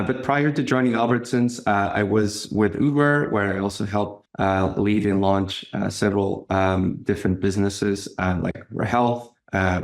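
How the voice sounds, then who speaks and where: A man with a Portuguese accent answering interview questions, pausing often with ums and uhs and repeating words. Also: sits low, creaky. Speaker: marketing executive guest.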